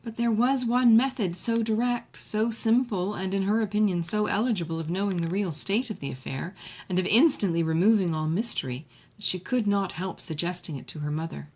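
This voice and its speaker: A woman reading a classic novel as an audiobook narrator, in a measured, expressive literary manner. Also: mid-range voice, poor audio.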